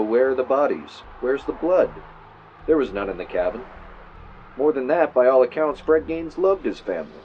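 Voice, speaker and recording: A man's voice: mid-range voice, a little mellow, lightly acted. An actor playing a male true-crime podcaster, telling a spooky story theatrically with wry asides into a clip-on mic. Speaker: podcast host character. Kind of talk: audio drama, true-crime narration recorded on tape. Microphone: mic clipped to shirt. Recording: muffled noisy tape, clip-on mic in car.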